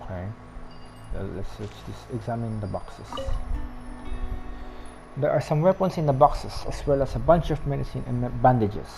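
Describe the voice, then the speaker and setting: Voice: mid-range voice, expressive, normal speed, creaky, over music, poor audio. A Filipino-accented streamer giving casual game commentary, reading lines aloud, often correcting themself and making giggly asides. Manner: self-correcting, apologetic about pronunciation, giggly asides. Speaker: gameplay streamer.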